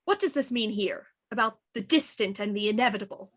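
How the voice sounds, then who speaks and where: An actress playing an actor who voices a stern inspector, with clipped, probing questions. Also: female voice, mid-range voice, animated, a little fast, close to an everyday voice. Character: young woman actor, sharp and sarcastic, voicing a stern inspector. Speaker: actor playing a police inspector in a period radio play. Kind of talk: audio drama acting.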